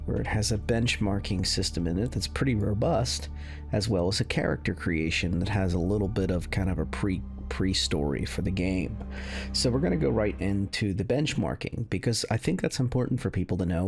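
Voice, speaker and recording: Deep voiced guy w lots of fry, casually talking thru game tests, kinda halting, faint music. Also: a little mellow. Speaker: gaming video creator. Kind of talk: casual unscripted benchmark commentary.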